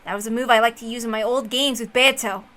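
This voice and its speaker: Woman reading as brooding, bright-voiced calculating sorcerer. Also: a little high, steady tone. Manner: serious, deliberate, dramatic.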